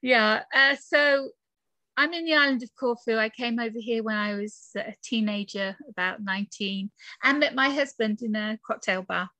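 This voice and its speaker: A woman's voice: a little high, normal speed, sharp tone, no echo. A British woman in her forties from the UK, chatting casually as an interview guest in her bedroom office, with lots of fillers and laughs. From United Kingdom. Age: 40s. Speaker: guest author and podcaster.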